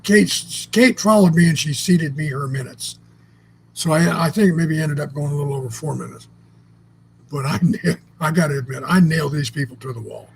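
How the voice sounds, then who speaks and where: A man co-hosting a relaxed chat, speaking in a fairly high register. He tells stories in a blunt, salty, meandering way and often restarts his sentences. A faint noise sits under his voice. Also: normal speed, creaky, poor audio. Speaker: co-host. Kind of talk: casual conversational storytelling.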